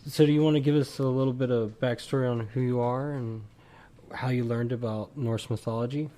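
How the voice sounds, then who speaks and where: A man's voice: mid-range voice, steady tone, brisk, dark tone. A man hosting a podcast, chatting casually and loosely with his guest, with lots of "like" and "you know". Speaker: podcast host. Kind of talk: casual interview.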